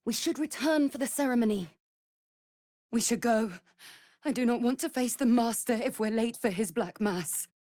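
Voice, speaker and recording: A woman's voice: on the high side, moderate pace, dark tone, dry recording, clean studio sound, close to an everyday voice. A woman playing an anxious, devoted vampire spawn in a fantasy video game, speaking formally and earnestly. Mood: urgent, uneasy. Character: anxious, devoted female vampire spawn serving her master.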